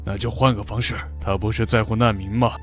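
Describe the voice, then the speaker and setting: A man voicing a cold, scheming military commander in an animated comic drama, with an authoritative, calculating delivery. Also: on the low side, brisk, close to an everyday voice. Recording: music behind the voice. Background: dramatic music.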